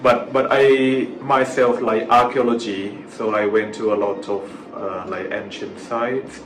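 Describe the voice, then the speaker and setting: A man from Thailand, a native Thai speaker with a Thai accent, chatting casually as an interview guest in a halting way that repeats words. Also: medium pitch, fairly even intonation. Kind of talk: casual chat. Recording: echoey room with clear background noise.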